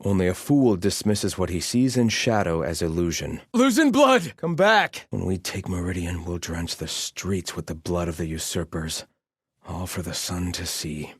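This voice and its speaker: Haughty low-voiced soldier. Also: male voice, animated, moderate pace, slightly rough, dry recording, clean studio sound, full character voice. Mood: stern, contemptuous, then urgent battle shouting. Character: haughty, devout male soldier of a sun-worshipping empire. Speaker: game voice actor. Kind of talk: scripted video game barks and lines.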